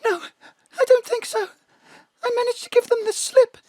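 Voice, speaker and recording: A woman voicing an anxious, fragile fallen film star with a breathy, trembling voice in a radio drama. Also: very high voice, steady tone, normal speed, dark tone, no room sound, pitched above the performer's own voice, low-quality recording. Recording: dry home studio.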